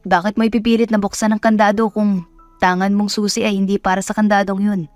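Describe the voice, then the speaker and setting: A woman's voice: mid-range voice, little pitch movement, fast, a little mellow, no room sound, faint music behind, lightly acted. A woman voicing a blunt, sensible friend in an audio drama, matter-of-fact and admonishing. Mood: cautioning.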